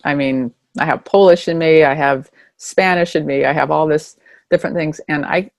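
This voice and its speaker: A woman hosting a podcast interview, talking in a relaxed, rambling way with lots of filler words. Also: low-pitched, normal speed, vocal fry, dry recording. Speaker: podcast host. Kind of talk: casual interview chat.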